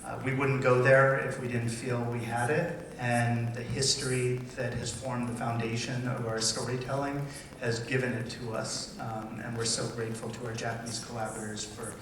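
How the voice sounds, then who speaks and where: A man answers questions as a writer-producer at a public talk session, heard from a distance in a heavily reverberant hall. His pitch stays fairly flat as he picks his words haltingly. Clear noise sits over the recording. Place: large event hall, public presentation. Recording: very echoey hall, distant, noisy with interference.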